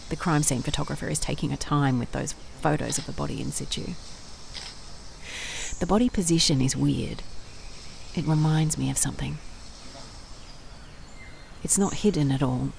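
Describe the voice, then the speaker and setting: A woman playing a curious, determined private investigator in an audio drama, speaking in a clipped, brooding, measured way as if dictating case notes. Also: sits low, vocal fry, darkish tone, a little hiss or hum.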